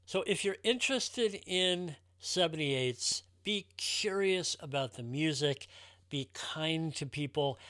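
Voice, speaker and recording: A man's voice: a little high, a bit bright, no echo. Enthusiastic man presenting, dry close sound. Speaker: record collector presenting a show. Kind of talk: casual presentation to viewers.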